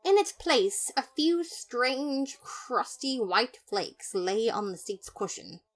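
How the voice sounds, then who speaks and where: A woman reading scripted first-person fiction in a dramatic, expressive narrator's manner. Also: fairly high pitch, takes it slowly. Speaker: podfic narrator.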